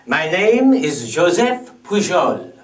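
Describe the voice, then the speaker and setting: A slow, theatrical French showman, high-voiced. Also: very animated, exaggerated voice. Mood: grandly confiding. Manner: theatrical, deliberate, dramatic storytelling. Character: proud theatrical Frenchman, belle epoque music-hall performer reminiscing. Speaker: monologue performer. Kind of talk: rhyming comic stage monologue. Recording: echoey room, distant mic.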